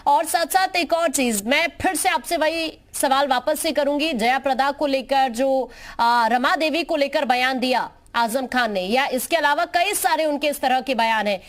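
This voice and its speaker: High-pitched woman news anchor. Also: moderate pace, hardly any pauses. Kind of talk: live debate moderation.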